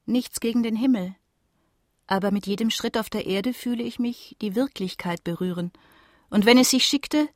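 A woman's voice: mid-range voice, no echo, clean studio sound, close to an everyday voice. An actress playing a free-spirited, rapturous lady wanderer of the early nineteenth century in a literary radio play, with elegant, poetic phrasing.

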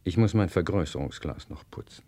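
An actor playing a fussily polite, mercenary and evasive palm reader in a radio play. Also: male voice, low-pitched, animated, brisk, warm tone. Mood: fussily businesslike. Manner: fussy, obsequious, evasive. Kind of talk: German radio play acting.

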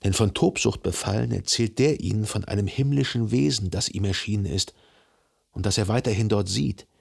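A man playing a reflective, lovelorn narrator recalling his past in a literary radio drama, measured and contemplative. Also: on the low side, gravelly, a little mellow, breathy edge, exaggerated voice. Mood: wry reflection.

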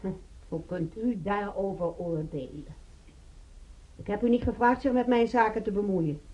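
Actress as middle-aged, well-to-do housewife. Radio play, superior and defensive, medium pitch, lo-fi sound. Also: female voice, normal speed, warm tone, natural voice. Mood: indignant. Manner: haughty, defensive. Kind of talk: radio drama dialogue. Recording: dull, muffled radio play recording.

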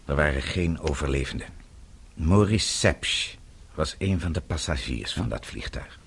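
An actor in a radio drama playing an authoritative middle-aged father-in-law, measured, paternal and formal, with a husky voice. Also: rumbling low, expressive, heavily acted. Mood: composed, advising. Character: authoritative, unruffled father-in-law advising a younger man.

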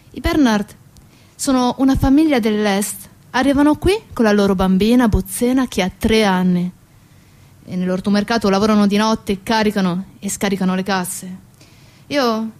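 A woman performing a theatrical first-person monologue in short, deliberate phrases, addressing the listener directly. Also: medium pitch, darkish tone. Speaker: stage actress and narrator.

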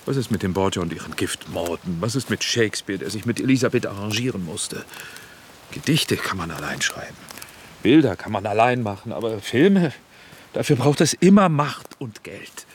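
A man's voice: lowish voice, normal speed, a little hiss or hum, natural voice. An actor playing a proud, defensive film director who justifies his choices in a radio drama. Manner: animated, self-justifying.

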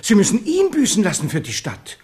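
Servile townsman, swooping pitch. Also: huge pitch swings, a little fast, a little mellow. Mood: pleading. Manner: obsequious, pleading. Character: servile, frightened townsman pleading before his king. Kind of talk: German radio play acting.

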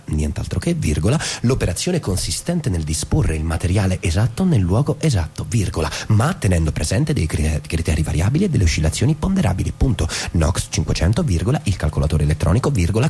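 An actor playing a dry, deliberate narrator and office husband in a literary stage drama, reciting in a theatrical way. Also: male voice, medium pitch, a little mellow, slightly rough, heavily acted. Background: music.

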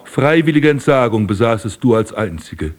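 A man's voice: mid-range voice, sing-song, normal speed, lightly acted. An actor playing a proud, possessive aging prince and father figure, solemn and declamatory in a poetic radio drama. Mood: proud, self-righteous. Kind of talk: literary German radio drama acting.

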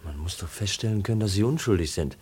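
A man's voice: sits low, lively intonation, quick pace, a little mellow, slightly rough, full character voice. An actor playing an earnest, stubborn young male heir who speaks directly, in a 1950s German radio drama. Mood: concerned, earnest.

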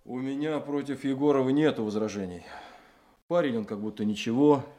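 A man's voice: mid-range voice, a little fast, warm tone, natural voice. An actor playing a mild, doubtful police colleague in a radio drama, pondering as he searches for words. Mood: puzzled.

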